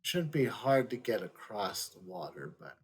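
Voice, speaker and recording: A man's voice: fairly low pitch, sing-song, unhurried, husky. A man giving casual video game commentary as a streamer, musing aloud as he plays.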